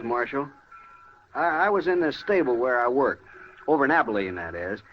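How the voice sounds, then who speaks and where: An actor playing a timid, nervous stable hand in an old radio western, hesitant and rustic in speech. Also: male voice, fairly high pitch. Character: jumpy, timid small-town stable hand turned witness. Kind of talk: 1950s radio western acting. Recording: dull, faintly noisy old radio recording.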